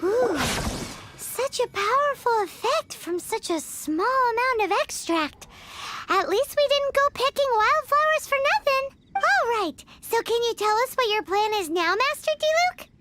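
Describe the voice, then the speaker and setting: Brief: tiny game fairy sidekick, very high squeaky childlike voice, chirpy, animated, exclamatory. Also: female voice, unhurried, very noisy, heavily acted. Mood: excited. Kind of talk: video game character acting.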